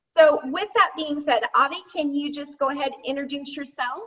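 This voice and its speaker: High-voiced woman host on muffled audio. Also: a bit bright, far from the mic. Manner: upbeat, quick questions. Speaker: host, financial consultant. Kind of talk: interview hosting.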